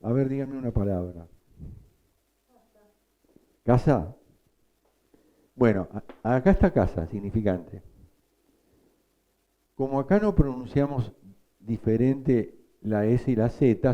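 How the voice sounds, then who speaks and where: A man teaching a psychoanalysis class, explaining slowly and quizzing his students. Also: medium pitch, expressive, muffled recording. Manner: slow, explanatory, asks the class questions. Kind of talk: lecture.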